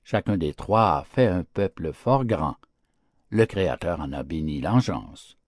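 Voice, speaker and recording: A man narrating storybook fables in a theatrical, declamatory and expressive style. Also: sits low, measured pace, no echo, clean studio sound. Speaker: audiobook narrator.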